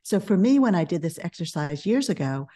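A middle-aged woman speaking as a podcast guest, a business coach who talks in a calm, measured way. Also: on the low side. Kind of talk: podcast interview.

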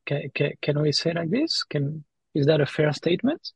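A man's voice: fairly high pitch, expressive, moderate pace, vocal fry, husky, no room sound. A man hosting a podcast in casual chat, often repeating words and tagging his points with "right?". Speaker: podcast host.

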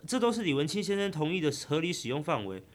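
A man voicing a calm, teasing young insurance agent in an audio drama. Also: fairly high pitch, fast. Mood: calm, unsettling.

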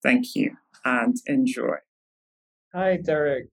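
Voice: mid-range voice, slow pace, no echo, studio quality. A podcast host who reads an upbeat scripted intro and then asks interview questions, with frequent wows and mms. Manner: upbeat, warm interjections of wow and mm.

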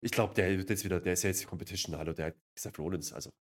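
A man's voice: sits low, steady tone, rapid-fire. A man co-hosting a wrestling review in casual, unscripted banter, with a sarcastic, mock-enthusiastic way of recapping. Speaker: wrestling show review co-host.

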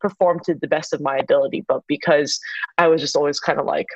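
A woman's voice: deep voice, fairly even intonation, quick pace, dry recording, muffled recording. A young woman talking casually as an interview guest, chatty with lots of fillers. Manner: chatty, many fillers, laughs easily.

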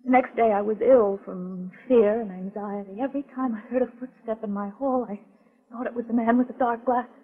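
A woman's voice: fairly high pitch, fairly even intonation, a little fast, breathy edge. An actress playing a frightened, earnest woman client in a 1940s radio mystery, speaking carefully and dramatically. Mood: anxious recounting. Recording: tinny, phone-like 1940s radio recording.

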